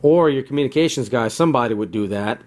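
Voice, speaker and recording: A man's voice: medium pitch, expressive, quick pace, darkish tone. A man talking casually and unscripted as he demonstrates gear and gives advice, often checking in with "right?" and "you know". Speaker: video host demonstrating radio gear.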